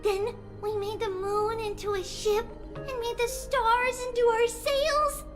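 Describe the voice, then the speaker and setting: A little girl from a video game with a tiny, very high voice. She is innocent and eager, speaking slowly and earnestly, with music behind her. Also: flat delivery. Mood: excited, dreamy. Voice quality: small childlike voice. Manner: earnest, halting storytelling.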